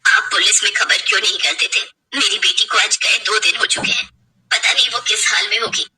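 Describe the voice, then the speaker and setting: A woman's voice: medium pitch, brisk, background noise, close to an everyday voice. A woman voicing an anguished parent grieving a missing child in a melodramatic story. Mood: tearful worry.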